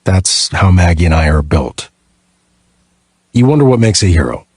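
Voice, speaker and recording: A man's voice: low-pitched, lively intonation, normal speed, a little mellow, breathy edge, no echo, clean studio sound. A man narrating a first-person thriller audiobook from a script.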